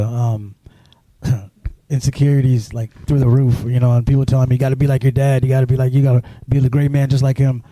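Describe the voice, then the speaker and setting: A man telling his life story as a guest on a live stream, halting and filling gaps with "you know" and "um". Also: medium pitch, dark tone, no echo, poor audio. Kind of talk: personal storytelling in an interview.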